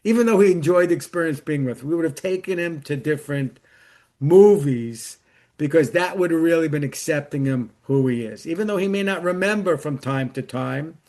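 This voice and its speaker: This is a man in middle age with a voice pitched somewhat above average. He speaks as an interview guest, in a conversational storytelling style. He slips into quoted dialogue as he shares personal experience and advice. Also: normal speed, a little mellow.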